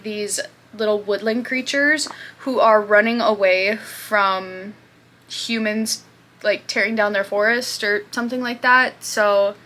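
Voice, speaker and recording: A young woman talks casually to her channel's viewers. Her voice is bright and sits at a middling pitch. She rambles a little, with fillers and asides as she goes. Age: 20s. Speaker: booktube host. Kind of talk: casual chat.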